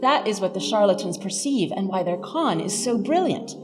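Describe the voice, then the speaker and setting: A woman's voice: mid-range voice, lively intonation, normal speed. A woman giving a scripted academic lecture as a professor, with a wry, emphatic manner. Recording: reverberant hall, music underneath.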